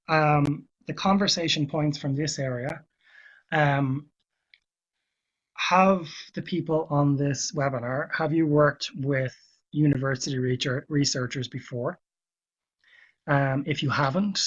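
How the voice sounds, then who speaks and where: A man with a cold presenting to a webinar, speaking in a measured way and thinking aloud with fillers and restarts. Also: a little high, breathy edge. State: hoarse from a cold. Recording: dull lo-fi webinar audio.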